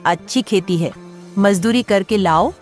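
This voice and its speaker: A woman voicing a farmer's worried wife who complains, in an animated story. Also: medium pitch, unhurried, faint music behind, close to an everyday voice. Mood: complaining.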